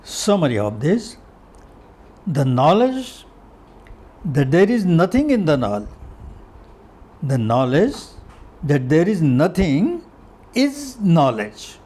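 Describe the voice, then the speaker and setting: Husky Indian-accented man reading slowly. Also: a little high, very animated, darkish tone. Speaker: reader of a philosophy article. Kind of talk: read-aloud scholarly article with Sanskrit verse.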